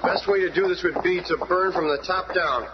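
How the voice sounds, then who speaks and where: An actor playing a confident, brash young superhero brother in a 1970s radio drama, with cocky eagerness. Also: male voice, high-pitched, brisk, heavy background noise, close to an everyday voice. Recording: dull, lo-fi radio recording.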